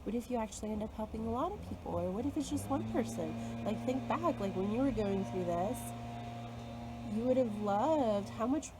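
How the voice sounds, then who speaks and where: A woman's voice: on the high side, lively intonation, moderate pace, very noisy. Earnest woman coaching herself aloud. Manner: earnest, self-coaching, frequent "like" fillers and soft laughs. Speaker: spiritual content creator. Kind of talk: reflective solo talk.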